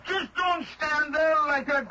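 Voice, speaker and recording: An actor in an old-time radio drama playing a bossy, folksy fishing boat skipper who barks out orders. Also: male voice, very high-pitched, fairly even intonation, unhurried, dull audio, background noise. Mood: excited, scolding.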